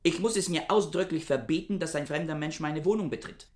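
A man's voice: fairly high pitch, a little fast, lightly acted. An actor playing a reclusive, pedantic male book scholar in a radio play, speaking in a stiff, formal, demanding way.

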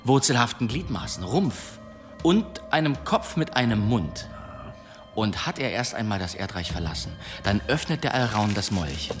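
A man's voice: lowish voice, big pitch swings, moderate pace. A swaggering demon hunter in a radio drama. He spins tall tales with florid pomp and a dramatically swinging melody, over prominent music. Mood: ominous, theatrical. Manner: theatrical, florid, pompous storytelling. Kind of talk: German radio play acting.

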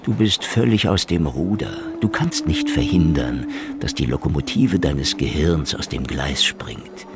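A tired noir detective in a radio play, low voice that swings a lot, dry and brooding, almost whispering at times. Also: male voice, exaggerated voice. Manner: brooding, dry, often dropping to a near-whisper. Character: weary, sardonic middle-aged Soviet secret police investigator. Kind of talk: hardboiled noir radio drama acting with inner monologue. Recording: studio radio play with music underneath.

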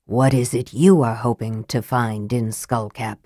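A frail, bitter young male mage, cool and sibilant, talking down to everyone with a peevish edge, as a woman reads him in a low, dry audiobook voice. The tone stays controlled and cutting, never loud. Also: steady tone, unhurried, dry recording. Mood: cold, cutting. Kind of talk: audiobook character voice.

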